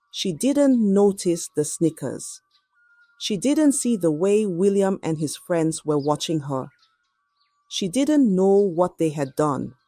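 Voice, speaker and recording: A woman storyteller giving a dramatic scripted narration of a folktale in short, measured phrases. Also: fairly low pitch, a little mellow, dry recording.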